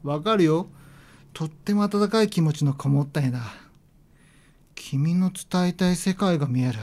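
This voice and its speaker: A man playing a frank but gentle high school art teacher, speaking calmly and casually in a radio drama. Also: normal speed, natural voice. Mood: gentle, warm. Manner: calm, casual, probing.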